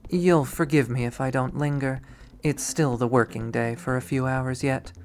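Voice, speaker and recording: A man voicing a coolly polite, enigmatic young woman in a visual novel, measured and formal. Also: medium pitch, steady tone, close to an everyday voice.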